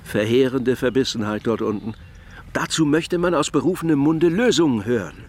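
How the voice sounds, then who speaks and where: An actor playing a bankrupt publisher, opinionated and wordy, in a radio drama. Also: male voice, medium pitch, lively intonation, moderate pace, darkish tone, a little hiss or hum, close to an everyday voice. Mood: admiring, pontificating.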